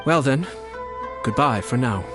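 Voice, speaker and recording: A man voicing a suave, calculating young nobleman in a video game, speaking in a calm, measured, smoothly confident way. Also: medium pitch, music in the background, natural voice. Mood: composed. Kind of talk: game voice acting.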